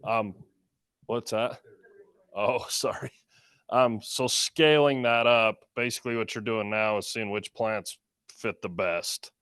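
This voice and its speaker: A man with a loud, smooth, somewhat low voice asks a follow-up question in a meeting. His tone is clear and resonant. The recording is dry. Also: slow pace, no room sound. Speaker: meeting participant. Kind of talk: meeting discussion. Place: conference room.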